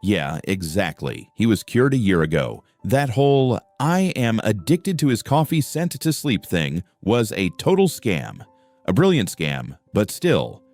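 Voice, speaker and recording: A man's voice: on the low side, sing-song, unhurried, a little mellow, no echo. A man voicing an exasperated young male college student in an audio drama, blunt and scoffing as he talks. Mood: matter-of-fact, amused.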